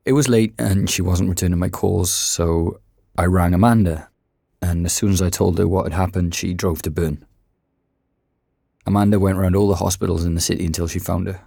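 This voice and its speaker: Somber guy narrating an audio drama, mellow dark voice, close dry sound, hesitant and grave. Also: sits low, steady tone, moderate pace, gravelly, no echo. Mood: somber, regretful. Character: somber male narrator recounting a friend's tragedy.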